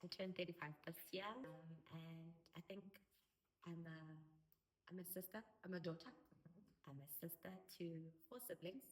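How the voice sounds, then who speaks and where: A woman's voice: fairly low pitch, moderate pace, poor audio. A South African woman in her thirties, an Afrikaans first-language speaker, talking openly and reflectively as an interview guest. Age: 30s. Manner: open and reflective, laughs easily. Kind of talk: candid personal interview.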